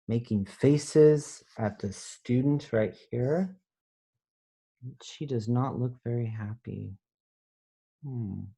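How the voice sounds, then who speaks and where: A man, an elementary school teacher, reading a picture book aloud expressively and pausing to explain it in simple words for children. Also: mid-range voice, warm tone, breathy edge, low-quality recording.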